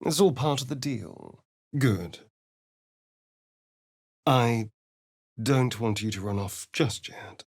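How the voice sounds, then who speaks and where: An actor voicing a sly, vain vampire rogue for a video game, with an English accent and an arch, theatrical, drawling delivery. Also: male voice, mid-range voice, animated, slow, long pauses, darkish tone, slightly rough, heavily acted. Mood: measured, wary.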